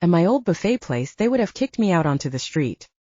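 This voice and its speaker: A woman's voice: on the low side, big pitch swings, fairly quick, dark tone, dry recording, lo-fi sound. A woman narrating scripted first-person fiction as an audiobook narrator.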